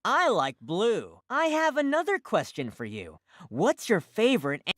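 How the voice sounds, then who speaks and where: A woman's voice: medium pitch, huge pitch swings, measured pace. A woman voicing a cheerful, chatty children's cartoon character with exaggerated, sing-song delivery.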